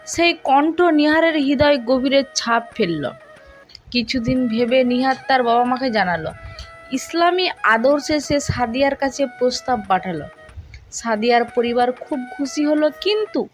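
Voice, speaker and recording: High-pitched woman narrating a romantic story.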